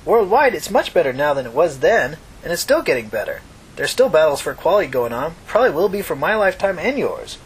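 A man's voice: a little high, sing-song, quick pace, slight background noise, voice pushed up, low-quality recording. A man voicing a kindly, talkative old mother from New York in a story reading, folksy, reflective and reassuring. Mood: reflective, encouraging. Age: older.

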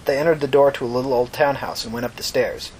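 A man's voice: mid-range voice, steady tone, fast talker, darkish tone, a little hiss or hum, rough recording. A man reading scripted fiction as a narrator.

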